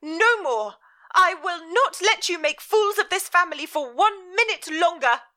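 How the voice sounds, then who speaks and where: A woman voicing a furious middle-aged Victorian mother, scolding in a shrill, near-shouting voice. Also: lively intonation, a little slow, heavily acted.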